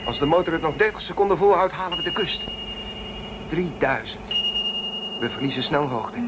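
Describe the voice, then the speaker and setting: An actor playing an anxious shuttle pilot in a science fiction radio drama, terse and commanding. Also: male voice, lively intonation, moderate pace, natural voice. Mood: tense. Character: anxious male shuttle pilot, gruff under pressure. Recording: noisy, rumbling craft ambience.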